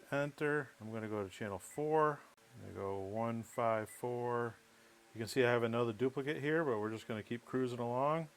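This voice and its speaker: A man explaining things step by step in a casual, matter-of-fact way, as in a hands-on how-to video. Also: mid-range voice. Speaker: presenter of a how-to electronics video.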